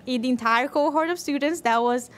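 A woman's voice: high-pitched, fairly quick. A woman, talking informally and playfully as a podcast guest. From Brazil. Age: 20s. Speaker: podcast guest, physician and researcher. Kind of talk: casual podcast chat. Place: podcast studio, indoors. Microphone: desk microphone on boom arm.

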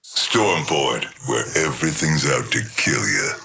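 A bloodthirsty killer robot from a video game. The voice is rock-bottom deep, gravelly and hard-edged, drawling out menace with sinister relish. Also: male voice, lively intonation, a little slow, pushed and bright, full character voice. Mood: menacing relish. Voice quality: gravelly, raspy.

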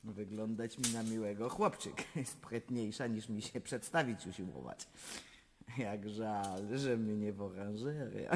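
An actress playing an imperious, capricious mature empress in a radio drama, with a German accent, broken Polish and haughty bits of French and German. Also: female voice, fairly low pitch. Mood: condescending. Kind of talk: theatrical radio drama acting. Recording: long hall-like reverb.

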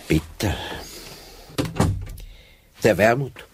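A patient, brooding detective sergeant in a radio play. His voice is fairly low, his words terse and musing. There is faint noise behind him. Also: expressive, drawn-out words. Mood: probing, musing. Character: brooding, patient male police sergeant, shrewd investigator. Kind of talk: radio drama acting. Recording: slightly noisy radio play.

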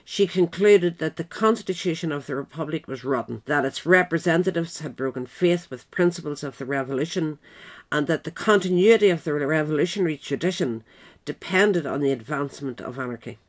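A woman narrating a written biographical essay in a measured, formal way. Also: sits low, lively intonation. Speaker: narrator, reader.

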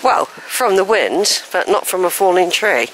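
A woman's voice: fairly low pitch, animated, measured pace, a little hiss or hum, low-quality recording. An older woman, chatting and thinking aloud as she films a woodland walk, a little wheezy. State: a bit wheezy. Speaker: walking vlogger. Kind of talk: casual walking commentary. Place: wooded track outdoors. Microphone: handheld camera while walking.

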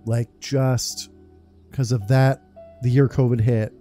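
A man's voice: medium pitch, flat delivery, takes it slowly, warm tone. A man in his thirties giving informal, rambling commentary on a game playthrough. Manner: informal, rambling, mild swearing. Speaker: gaming streamer. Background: game music.

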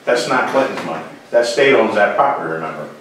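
A man's voice: on the low side, animated, fast talker, a bit bright, slight background noise. A man seated at a meeting table, talking bluntly and at length about town business, recorded by a far camera. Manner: blunt, opinionated, talks in runs. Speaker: first selectman. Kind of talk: meeting discussion. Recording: very echoey room, from far off.

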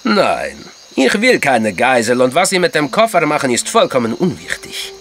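An actor playing a cool, commanding secret agent in a crime radio drama, curt and authoritative. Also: male voice, mid-range voice, huge pitch swings, normal speed, fairly bright tone, a little hiss or hum.

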